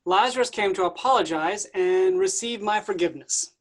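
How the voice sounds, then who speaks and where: A man playing a smug, flippant Jesus with playful, drawn-out teasing in a staged comedy reading. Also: sits high, animated, creaky, exaggerated voice. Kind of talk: staged reading of a comic play.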